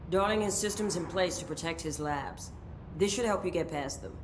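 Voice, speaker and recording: A woman playing a brisk, commanding operations chief. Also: fairly low pitch, echoey room, a little hiss or hum, close to an everyday voice. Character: tough no-nonsense female operations chief. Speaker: head of operations.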